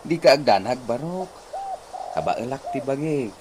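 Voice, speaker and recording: An actor playing a weary, fond ageing uncle in a village radio comedy drama. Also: male voice, mid-range voice, lively intonation, unhurried, natural voice. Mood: weary, reassuring. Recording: noisy radio drama, birdsong ambience behind.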